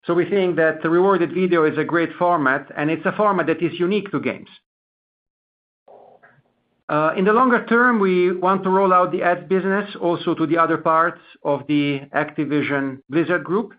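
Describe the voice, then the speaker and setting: A man speaking as a company executive, giving a measured, prepared earnings call answer with small hesitant repetitions over a conference line. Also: a little high. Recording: narrow tinny phone-line conference call.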